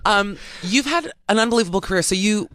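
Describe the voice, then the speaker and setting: A woman's voice: medium pitch, expressive, fast, fairly bright tone. A woman hosting a radio interview in a studio, teasing and joking with her guest. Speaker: radio host.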